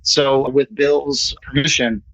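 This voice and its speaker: A man's voice: medium pitch, steady tone, slow, fairly bright tone, no echo. An American man chatting casually and enthusiastically, with a jokey manner, as a playwright in an online meeting. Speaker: playwright presenting his play at an online meeting. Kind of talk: casual chat. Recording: muffled lo-fi online meeting audio.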